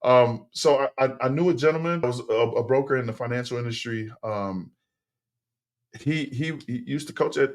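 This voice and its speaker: A middle-aged man whose first tongue is Tongan speaks at an ordinary mid pitch. He talks like a relaxed podcast guest who circles back and restarts sentences. The tone stays easy and conversational. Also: moderate pace. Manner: relaxed, rambling, many fillers and restarts. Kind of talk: casual interview storytelling.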